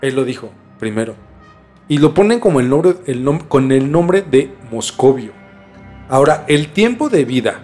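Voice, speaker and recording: A man's voice: mid-range voice, big pitch swings, moderate pace, darkish tone, faint music behind. A man with a Mexican accent explaining things in casual conversation as a podcast co-host, informally and repeating words for emphasis.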